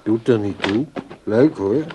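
A man's voice: fairly low pitch, slow. An actor playing a brooding, reproachful man in a radio drama, with a sarcastic, conscience-stricken way of talking. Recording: faintly noisy radio play recording.

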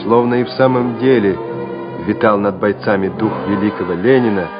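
A man narrating a wartime radio drama in a measured, solemn, scripted way. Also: fairly low pitch, fairly even intonation, close to an everyday voice. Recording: dull old radio recording with music.

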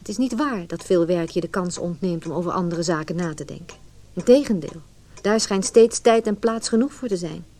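A woman's voice: on the low side, normal speed, mellow, natural voice. An actress playing a troubled, reflective woman narrating her inner thoughts in a scripted radio drama monologue. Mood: pensive.

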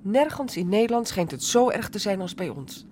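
A woman's voice: medium pitch, expressive, moderate pace, a little mellow, slight background noise, close to an everyday voice. Motherly middle-aged village woman played by an actress. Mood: chatty, hopeful. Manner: soothing, chatty. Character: motherly, caring, hopeful older village woman. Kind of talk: naturalistic radio drama acting.

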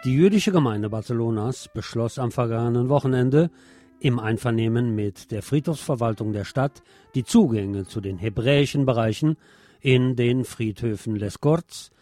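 A man's voice: mid-range voice, moderate pace, mellow, faint music behind. A man presenting a scripted radio magazine with news and commentary, phrasing things in a wry, dramatic way.

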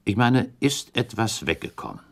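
A man acting in a German radio play as a shrewd, composed gentleman accuser, speaking formally and deliberately with insistent, probing weight. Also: medium pitch, expressive, lightly acted.

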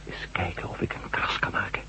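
An actor playing a curious, dryly joking ex-astronaut reporter in a science-fiction radio drama. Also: male voice, a little fast, whispered, natural voice. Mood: musing, focused. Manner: dry, teasing, musing. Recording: dull lo-fi radio recording, humming noise.

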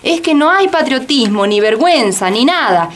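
A woman's voice: high-pitched, very animated, normal speed, a bit bright. A woman playing a hot-tempered, outspoken lady in radio drama dialogue, exclaiming and challenging. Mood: indignant.

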